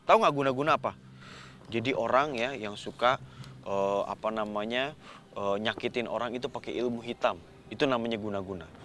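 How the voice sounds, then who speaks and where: A man's voice: mid-range voice, a little fast, a bit bright, a little hiss or hum. A man telling a story to children in an informal, chatty way, often putting questions to his young listeners.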